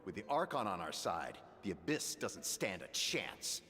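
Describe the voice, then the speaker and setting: An actor playing a confident, reassuring soldier in a video game, speaking in a calm, assured way. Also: male voice, low-pitched, normal speed, full character voice. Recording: distant reverberant game audio with music.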